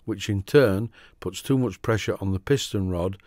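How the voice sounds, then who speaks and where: A middle-aged man with a Yorkshire accent, giving a steady, methodical workshop tutorial voice-over about model engine repair. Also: lowish voice, moderate pace, dry recording, clean studio sound. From Yorkshire, England. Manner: steady, methodical, plain-spoken instruction. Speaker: model engineering channel host.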